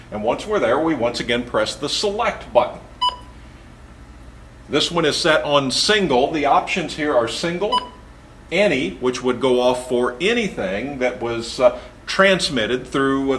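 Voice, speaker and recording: A man calmly explaining, step by step and off camera, how to set up a device, with steady, methodical pacing. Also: mid-range voice, slight background noise. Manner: patient and methodical, explains each button press. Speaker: instructor. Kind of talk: step-by-step tutorial.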